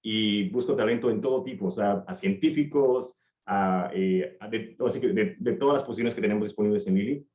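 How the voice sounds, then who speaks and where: A man in his thirties speaking as a panelist on a video call, warm and rambling, with many restarts. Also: lowish voice, fairly quick. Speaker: panelist, recruiter. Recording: echoey, dull video-call audio.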